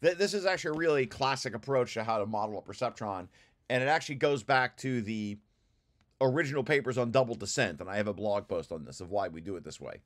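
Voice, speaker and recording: Male presenter, mid-pitched, for an informal technical walkthrough; thinks aloud haltingly, repeating words as he explains. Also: animated. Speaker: presenter reviewing own technical paper.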